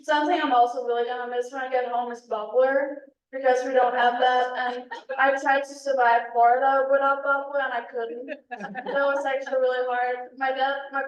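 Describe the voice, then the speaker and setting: A woman's voice: high-pitched, level tone, normal speed, distant mic, dull audio. Young Danish woman, echoey room. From Denmark. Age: young adult. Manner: chatty with many ums and likes. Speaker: exchange student. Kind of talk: casual talk at a club meeting. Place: meeting room.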